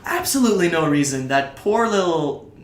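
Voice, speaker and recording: A young man whose first language is French, co-hosting a podcast in casual, halting chat. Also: fairly high pitch, big pitch swings. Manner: halting, many fillers and restarts. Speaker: podcast co-host. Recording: echoey room.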